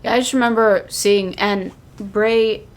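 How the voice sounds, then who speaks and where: A woman's voice: medium pitch, steady tone, a little slow, slight background noise. Woman podcast host in casual discussion.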